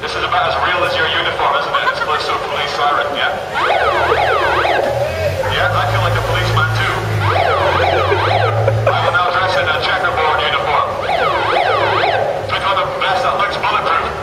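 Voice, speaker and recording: A man with a fairly high voice blares mock-official announcements through a megaphone. He stays deadpan as a street performer, with clear outdoor noise and vehicles behind him. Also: normal speed, pauses often. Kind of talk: mock public announcements to passers-by. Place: outdoors on a busy city street.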